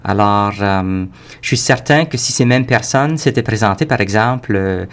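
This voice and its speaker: A man who heads an organization, speaking as a talk-show guest, halting often on fillers. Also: on the low side. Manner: hesitant, many fillers. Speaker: executive director guest. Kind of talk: panel discussion.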